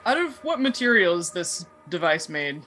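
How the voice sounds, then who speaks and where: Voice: medium pitch, very animated, normal speed, bright, forceful tone, soft background music. A player in a tabletop roleplaying game, improvising casually in character.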